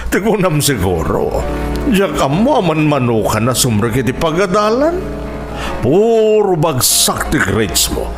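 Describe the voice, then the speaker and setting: A stern middle-aged father, firm and lecturing in radio drama style. His voice sits a little high and swings widely in pitch, with music clearly behind it. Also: sing-song, a little slow. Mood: stern, unyielding.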